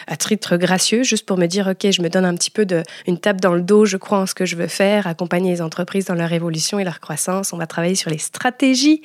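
A woman speaks as a podcast host, sharing a personal story. Her voice sits at an average pitch for a woman, and her delivery is expressive and confiding. The sound is dry, with hardly any room in it. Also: no echo.

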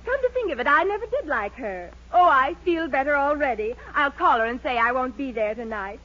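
A woman's voice: high voice, lively intonation, a little fast, a bit bright. A woman playing an eager, gullible society lady patient in a gushing 1940s radio comedy style. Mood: earnest amazement. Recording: muffled, faintly noisy old radio recording.

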